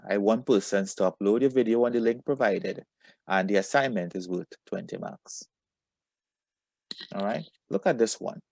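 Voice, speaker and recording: A man teaching a lesson to students, asking questions and giving instructions with deliberate emphasis and encouragement. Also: mid-range voice, a little mellow, no room sound.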